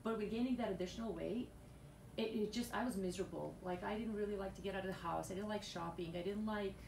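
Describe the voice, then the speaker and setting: Gravelly-voiced woman from Bosnia. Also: medium pitch, fast, darkish tone. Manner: chatty, frequent restarts and fillers. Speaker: weight loss client guest. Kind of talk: candid radio interview. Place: radio studio with windows. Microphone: boom mic, seen from afar. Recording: echoey studio, far camera mic, noisy.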